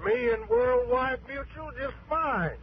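A man's voice: very high voice, slow pace. An actor playing a folksy, wily older Arizona insurance man in a radio drama, talking in a hesitant, homespun way. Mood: genial, folksy. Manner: drawling, hesitant, folksy hemming and hawing. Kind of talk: 1960s radio drama acting. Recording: muffled, faintly noisy old radio recording.

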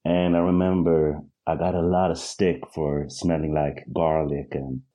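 A man's voice: a bass voice, level tone, measured pace, warm tone. A man in his forties from Sweden, a podcast guest who talks candidly and laughs easily. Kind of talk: casual podcast chat.

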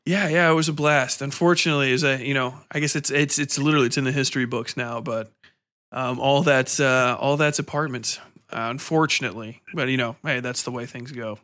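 A man with a medium-pitched voice hosts a casual interview. His phrasing is informal and halting, as he feels his way through questions and asides in loose, chatty language. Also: steady tone, bright, forceful tone. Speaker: podcast host, interviewer.

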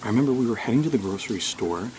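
A man's voice: on the low side, lively intonation, very fast, noisy background, natural voice. An actor playing an anxious, sarcastic man who mutters to himself, in a naturalistic audio drama. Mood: suspicious, wry. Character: anxious, suspicious, sarcastic man with memory loss.

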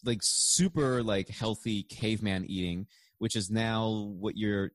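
A man with a fairly low voice hosts a loose podcast chat. He rambles and jokes, restarting his sentences and laughing along. The sound is dry and close. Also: unhurried, dry recording. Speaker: podcast host.

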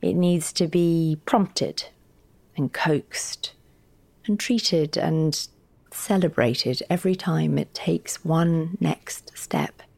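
A frank and wry woman who coaxes her writer partner along. Her voice sits fairly low. She is articulate and encouraging, in a natural audio drama style. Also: extremely slow, gravelly, a little mellow, heavily acted. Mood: encouraging, tender. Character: candid, witty, encouraging female partner of a writer. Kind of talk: naturalistic audio fiction acting.

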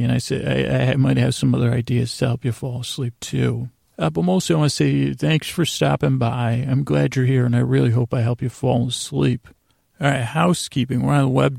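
A man hosting a bedtime podcast in a gravelly voice, rambling in a halting, self-deprecating way that trails off mid-sentence to lull listeners to sleep. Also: medium pitch, warm tone, no room sound, studio quality. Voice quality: gravelly, creaky. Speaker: podcast host.

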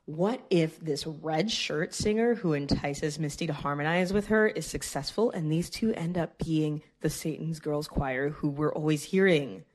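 Woman, low-pitched, for dramatic readings of gushing fan emails; theatrical delivery. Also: unhurried, few pauses, a little mellow. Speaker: guest reader of listener emails.

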